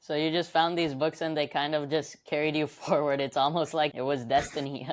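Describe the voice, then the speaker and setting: A man's voice: fairly high pitch, level tone, a little fast. A man co-hosting a podcast, asking interview questions in a conversational way.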